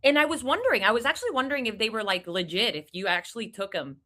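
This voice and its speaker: A woman's voice: a little high, animated, racing, pushed and bright. A woman from Puerto Rico hosting a podcast, chatting casually and reacting eagerly, sometimes losing her train of thought. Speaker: podcast host. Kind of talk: casual interview chat.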